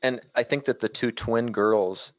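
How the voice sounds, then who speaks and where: A man co-hosting a podcast, talking through his opinions casually and a little haltingly. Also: medium pitch. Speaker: podcast co-host. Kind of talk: casual film discussion.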